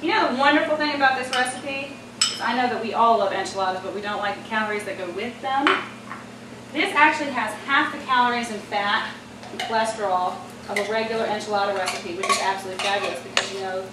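A woman's voice: mid-range voice, quick pace. A middle-aged American woman giving a casual, chatty cooking demonstration, talking straight to viewers as host. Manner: chatty, instructive, addresses viewers directly. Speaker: cooking show host and chef. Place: home-style kitchen at the stove, indoors. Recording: roomy, distant mic, loud kitchen noise. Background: sizzling pan, clattering pots and stirring.

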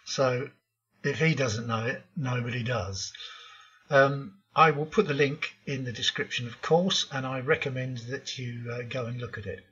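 An older man talks through his slides with blunt opinions and plenty of ums. His voice is bright and edgy but very husky. The voice-over sounds muffled and lo-fi. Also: mid-range voice, moderate pace. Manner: opinionated, frank, with frequent ums. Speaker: programming tutorial host. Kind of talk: narrated slide lesson.

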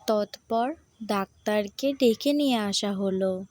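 A woman narrating a scripted storybook tale in a measured, deliberate manner. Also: medium pitch, darkish tone, no room sound, clean studio sound.

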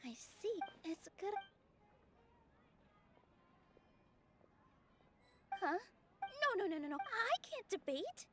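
A woman playing a gentle, earnest young theater dancer in a video game. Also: very high voice, big pitch swings, unhurried, loud music behind the voice, full character voice. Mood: worried, hesitant.

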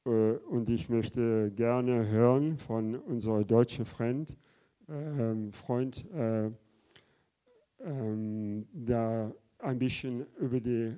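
This is an older man from the Netherlands, speaking with a Dutch accent, who stumbles along with many fillers as he asks his question. His voice is slow, dark and smooth. He holds a handheld microphone in a large conference hall. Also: medium pitch. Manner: hesitant, many fillers, switches languages. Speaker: audience member asking a question. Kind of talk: halting public question in a debate.

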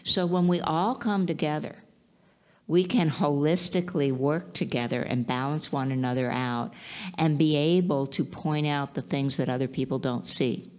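A woman's voice: low-pitched, moderate pace, a little mellow. A woman entrepreneur answering on a stage panel in a large auditorium, talking with many restarts and fillers.

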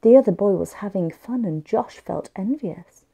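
A woman's voice: medium pitch, animated, moderate pace, gravelly, warm tone, slightly rough. A woman reading a picture book aloud expressively and carefully for young children. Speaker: children's story reader.

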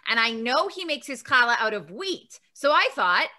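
A woman's voice: a little high, big pitch swings, moderate pace, pushed and bright. A woman voicing a kind, bubbly sister dinosaur puppet, telling a children's story in a playful, exaggerated way. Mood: kindly. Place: room at home. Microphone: video call, computer mic.

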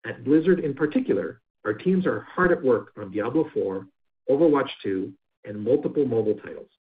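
A man's voice: mid-range voice, brisk. Man reading earnings remarks over a phone line. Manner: steady scripted corporate reading. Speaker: company executive presenting results. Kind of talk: prepared remarks read on an earnings call. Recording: phone-line audio of a conference call.

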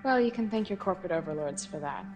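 A woman's voice: fairly low pitch, lively intonation, fast, natural voice. An actress playing a tough, sarcastic young female elite cop who talks in curt replies. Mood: dry. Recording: game cutscene under loud music.

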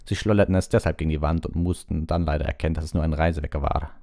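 A man's voice: very deep voice, steady tone, very fast, dark tone, no room sound, studio quality. A man reading a novel aloud as an audiobook narrator, in scripted literary narration.

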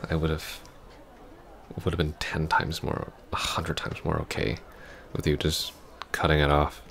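Guy playing a sad earnest ex-boyfriend, asmr roleplay, super low gravelly voice, halting and breathy, some hiss behind. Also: flat delivery, fairly bright tone, full character voice. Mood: wistful, hurt. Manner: halting, hesitant, breathy half-whispers. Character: heartbroken, earnest young ex-boyfriend pleading for closure. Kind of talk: intimate ASMR roleplay acting.

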